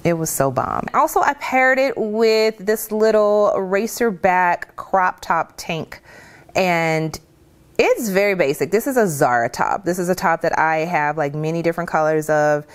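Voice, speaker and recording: A woman in her thirties with a low, full voice chats about her outfit in a casual fashion vlog. She talks to her viewers in an animated, friendly way and calls them y'all. She is filmed facing the camera in her home kitchen. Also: a little slow. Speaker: fashion vlogger.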